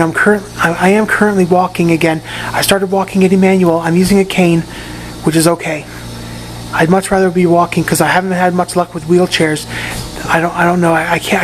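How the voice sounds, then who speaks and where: Hoarse, raspy man, halting delivery, casual update from his room, faint hum behind. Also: high-pitched, low-quality recording. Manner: halting, often repeats and restarts words. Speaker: patient giving a recovery update. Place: private room in a rehab facility.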